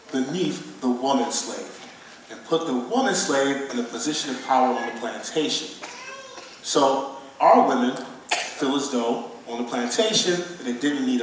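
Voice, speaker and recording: A man's voice: medium pitch, lightly acted. An actor playing an earnest divorced father who teaches his son in an emphatic, preaching stage manner. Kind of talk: stage play dialogue, preachy. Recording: roomy echo, distant mic, faint noise.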